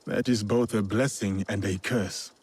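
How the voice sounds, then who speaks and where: Game samurai, male: low register, loyal, reserved, formal and measured delivery. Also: steady tone, a little mellow, breathy edge. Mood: courteous. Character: grave, courteous samurai warrior, man, loyal and dutiful. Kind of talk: video game cutscene acting.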